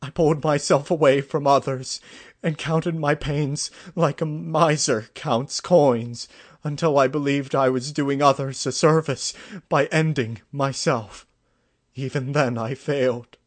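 A man voicing a grown, regal pony mare in a storybook reading, burdened yet gentle, with formal, measured wording. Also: fairly low pitch, fairly even intonation. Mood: sobbing, ashamed.

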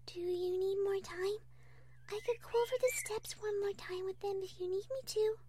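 A woman voicing a timid, gentle young female pegasus pony in a cartoon fan story, with a soft, breathy, hesitant and sweetly polite delivery. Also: very high voice, moderate pace, a little hiss or hum, voice pushed up.

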